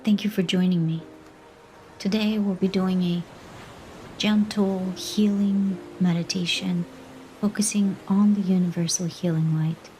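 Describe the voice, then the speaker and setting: Slow, soft-toned woman guiding a meditation. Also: lowish voice, fairly even intonation, low-quality recording. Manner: gentle, unhurried, pausing between short phrases. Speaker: meditation guide. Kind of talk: guided meditation, slow soothing instruction. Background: background music.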